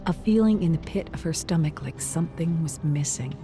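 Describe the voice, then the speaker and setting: A narrator reading a dramatic scripted story in a measured, wistful manner. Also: mid-range voice, over music.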